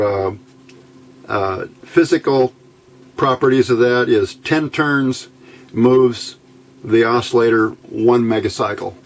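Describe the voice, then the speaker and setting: A middle-aged or older man casually explaining technical details as a hobbyist, halting and thinking aloud, recorded indoors at a worktable. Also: medium pitch. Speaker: hobbyist radio builder giving a tutorial. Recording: noisy amateur home recording.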